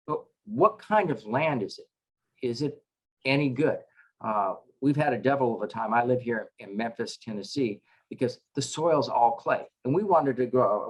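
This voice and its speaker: A middle-aged man, a chaplain, teaching a casual scripture class over a video call, halting with lots of filler sounds and dropping wry asides. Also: medium pitch, low-quality recording. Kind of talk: casual online scripture lesson. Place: home with dogs in another room.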